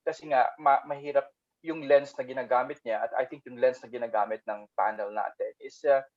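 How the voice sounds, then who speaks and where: A man's voice: mid-range voice, steady tone, fast, highs cut off. A man on an academic panel over a video call, mixing Filipino and English and often tagging his points with "you know" and "no?". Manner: thoughtful, frequent "you know" and "no?" tags; switches between Filipino and English. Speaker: panel speaker.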